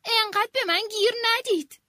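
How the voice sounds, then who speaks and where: A woman voicing a spoiled, quick-tempered queen bee who demands and shouts. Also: very high voice, big pitch swings, no echo, clean studio sound, full character voice. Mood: shouting.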